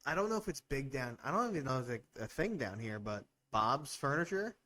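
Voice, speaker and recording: A man's voice: medium pitch, very animated, a little fast, a bit bright. A man co-hosting a casual podcast chat, dropping in short, laid-back remarks.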